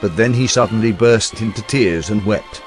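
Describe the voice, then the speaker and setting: A man reading a historical account aloud in a measured, storytelling documentary narration. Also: on the low side, faint music behind. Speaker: reader of historical text.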